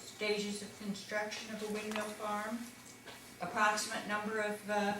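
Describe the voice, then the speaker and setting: A woman chairing a town meeting, introducing the speakers in a measured way and setting out the agenda. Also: medium pitch, monotone. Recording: echoey hall from far off with hiss.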